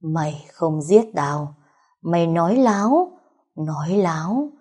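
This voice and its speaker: A woman voicing a vengeful female ghost who speaks slowly and menacingly, in a dark husky voice. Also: fairly low pitch. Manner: slow, drawn-out menacing.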